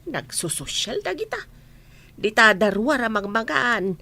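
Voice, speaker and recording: A woman's voice: on the high side, big pitch swings, measured pace, vocal fry, bright, forceful tone, heavily acted. A woman acting a kindly, firm, fair-minded older coworker in an Ilocano radio comedy drama. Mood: coaxing.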